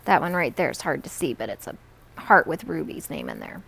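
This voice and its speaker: A woman reading a children's book aloud in an expressive storytelling style. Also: low voice, brisk, gravelly, breathy edge. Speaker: read-aloud reader.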